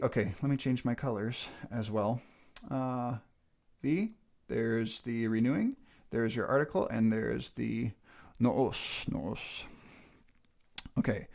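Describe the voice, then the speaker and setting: A man's voice: medium pitch, vocal fry, a little mellow. A man walking viewers through a manuscript study on screen, thinking aloud with frequent ums and restarts. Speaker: channel host teaching a manuscript study. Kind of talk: casual screen-share walkthrough.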